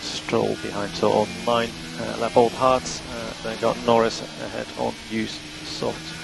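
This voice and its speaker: Deep-ish gravelly guy race engineer on team radio, calm factual callouts, repeats stuff, really loud engine noise. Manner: measured, matter-of-fact, repeats key instructions. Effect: team radio transmission. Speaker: Formula One race engineer. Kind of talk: team radio instructions to a driver during a race. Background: vehicle and engine noise.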